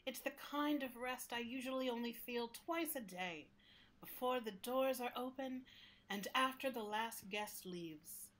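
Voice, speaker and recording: A woman playing a talking library building in a radio play, gentle but fussy, with a confiding, exasperated manner. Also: a little high, unhurried. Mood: serene, candid. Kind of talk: radio play acting in interview form.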